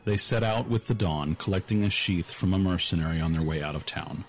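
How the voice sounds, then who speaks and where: A man's voice: deep voice, brisk, slight background noise. A man narrating a scripted fantasy story as an audiobook narrator.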